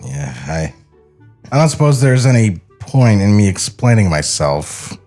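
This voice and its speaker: A man's voice: on the low side, very animated, unhurried, mellow, soft background music. A man playing a nervous, sheepish young studio artist in a comedy table read, stammering and apologetic. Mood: awkward, flustered.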